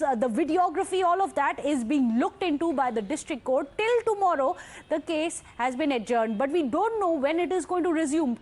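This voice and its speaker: Female TV news anchor, Indian English, high voice, emphatic live breaking-news delivery, hammers key points. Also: darkish tone.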